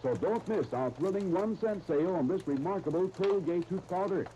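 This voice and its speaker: A man's voice: high voice, lively intonation, moderate pace, mellow. An American man announcing in a scripted 1930s radio style, as for a serial and its sponsor. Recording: noisy 1930s radio recording.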